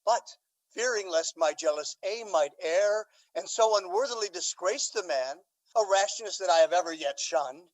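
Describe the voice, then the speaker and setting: A courtly older Duke, played by a man, speaks in a halting, stately way. The delivery is formal Shakespearean verse for radio drama. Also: high-pitched, animated, poor audio. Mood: guarded.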